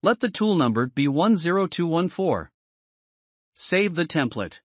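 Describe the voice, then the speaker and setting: A man giving scripted, instructional software tutorial narration in a measured, explanatory manner. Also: on the high side, very animated, dry recording.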